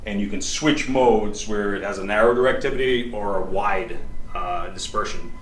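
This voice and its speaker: A middle-aged man gives an informal technical product demo in a halting way. His voice is fairly low. It is picked up at some distance in an echoey room with faint noise behind it. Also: a bit bright. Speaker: loudspeaker maker presenting at an audio show. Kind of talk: informal product demonstration interview. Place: carpeted hotel show room. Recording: roomy, distant mic, background noise.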